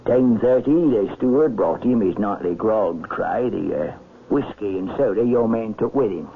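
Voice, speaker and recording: An actor playing a deferential ship's captain in a radio mystery, gravelly and halting, hemming and hawing. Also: male voice, on the low side, expressive. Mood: nervously obliging. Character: hesitant, deferential, talkative male ship's captain. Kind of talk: 1970s radio mystery acting. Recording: muffled, noisy vintage radio recording.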